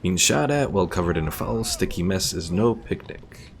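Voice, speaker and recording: A man's voice: low-pitched, expressive, moderate pace, creaky. A man giving casual game commentary as a streamer, thinking aloud and reading game text out. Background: game music.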